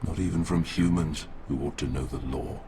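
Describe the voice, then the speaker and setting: A grave male village elder speaks in an extremely low voice. He sounds weary, solemn and mournfully consoling, a fantasy game character, with clear ambient noise behind him. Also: moderate pace, warm tone. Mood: weary gloom. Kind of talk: video game character dialogue.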